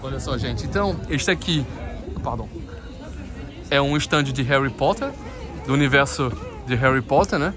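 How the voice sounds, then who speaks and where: A man's voice: medium pitch, animated, moderate pace, pauses often, over music. A man from Brazil with a Brazilian accent, vlogging casually as he walks an outdoor Christmas market and chats with vendors. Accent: Brazilian Portuguese. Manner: chatty, addresses viewers often, switches to careful French with vendors. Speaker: travel vlogger. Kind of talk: casual walking vlog, chatting with vendors. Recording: busy crowd noise outdoors. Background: market crowd.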